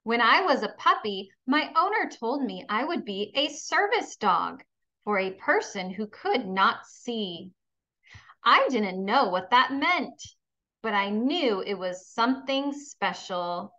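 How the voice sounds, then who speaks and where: Female kindergarten teacher, unhurried and bright, encouraging read-aloud delivery for little ones, slightly lo-fi sound. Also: on the high side, big pitch swings. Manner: encouraging, instructional, addressing young pupils with questions. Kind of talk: children's read-aloud lesson.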